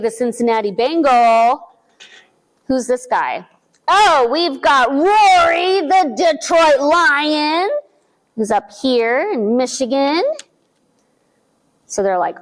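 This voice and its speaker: A woman with a high, bright voice runs a children's storytime, her pitch swooping up and down as she talks to the little ones. She takes her time, drawing out words and asking the kids questions in a sing-song way. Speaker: children's librarian. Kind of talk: virtual storytime for young children.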